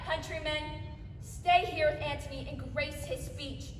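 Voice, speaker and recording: Girl doing proud earnest roman statesman in a stage tragedy, high voice, emphatic speechy pauses, way echoey. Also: fairly even intonation, crowd noise, noisy background. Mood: loud, oratorical. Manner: declamatory, deliberate pauses, emphatic. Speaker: student stage actor. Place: theatre stage in a large hall, far camera. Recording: echoey hall from far off.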